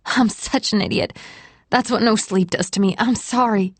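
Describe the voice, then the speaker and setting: A woman voicing a friendly, excitable young bride-to-be who organizes her friends, in an audiobook. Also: mid-range voice, normal speed, no echo, natural voice. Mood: excited.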